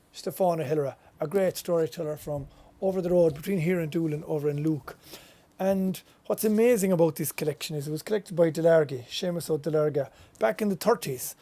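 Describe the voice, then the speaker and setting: Mellow Irish man presenting local stories. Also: fairly high pitch. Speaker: storyteller and tour presenter. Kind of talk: informal spoken presentation about local folklore.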